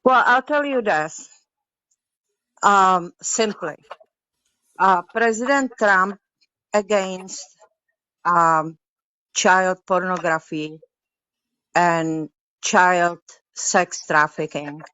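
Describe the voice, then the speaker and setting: Slow, hesitant female caller from Europe. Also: fairly low pitch, sharp tone, clean tone, no room sound. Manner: slow, hesitant, broken English. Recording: dull lo-fi dry call.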